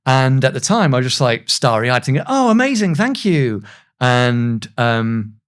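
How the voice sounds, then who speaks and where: A man's voice: medium pitch, big pitch swings, a little slow. A man in his thirties, a voice actor, telling anecdotes in a casual, self-deprecating way as a podcast guest. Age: 30s.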